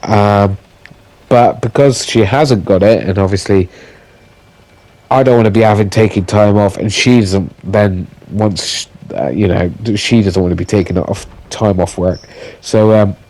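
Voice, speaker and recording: Croaky, stuffy-nosed man commentating gameplay. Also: fairly low pitch, a little mellow, rough recording. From United Kingdom. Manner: halting, with many fillers and restarts. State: croaky voice with a blocked nose from illness. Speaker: gaming commentator.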